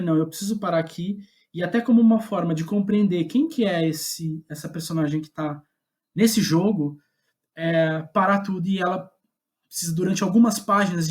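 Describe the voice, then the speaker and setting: A man with a Brazilian Portuguese accent, speaking as a guest playwright in a reflective interview, halting as he thinks aloud and often checking for agreement. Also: high-pitched, darkish tone. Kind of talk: reflective interview talk about own writing.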